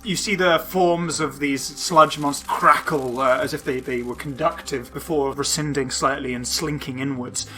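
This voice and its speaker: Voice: medium pitch, steady tone, normal speed, few pauses, noisy background. A game master narrating a tabletop game with dramatic, descriptive scene-setting over music. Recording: music behind the voice.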